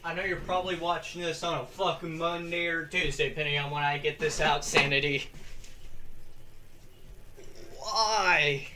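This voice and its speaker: A young man hosting a lore video, reading his script in a casual, excitable way, swearing freely and breaking into yells. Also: a little high, normal speed, a little hiss or hum.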